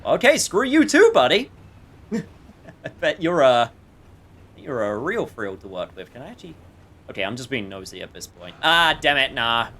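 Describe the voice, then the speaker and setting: A man's voice: medium pitch, big pitch swings, moderate pace, pauses often, bright, forceful tone. A man giving casual gameplay commentary as a streamer, with a joking, sarcastic manner. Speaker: game streamer.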